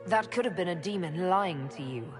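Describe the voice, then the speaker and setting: A woman's voice: on the low side, expressive, moderate pace, music in the background, close to an everyday voice. A woman as an earnest young elven mage. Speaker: game protagonist. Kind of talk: video game cutscene acting.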